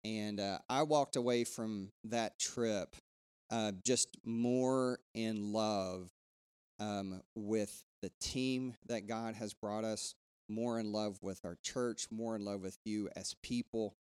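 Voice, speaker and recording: A pastor with a bassy, clear and resonant voice. He talks his congregation through the week's news in a relaxed, rambling way, with plenty of fillers and restarts. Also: slow pace. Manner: loose and conversational, many fillers and restarts. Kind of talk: church announcements before a sermon.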